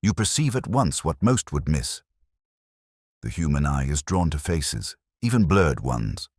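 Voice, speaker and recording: Guy doing an imperious detective, super low voice, formal n lecturing, swings around a lot, audiobook style. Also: very animated, measured pace, a little mellow, slightly rough, no echo, clean studio sound, exaggerated voice. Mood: approving. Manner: formal, precise, emphatic, lecturing. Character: keen, incisive, imperious male master detective. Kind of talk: audiobook detective story dialogue.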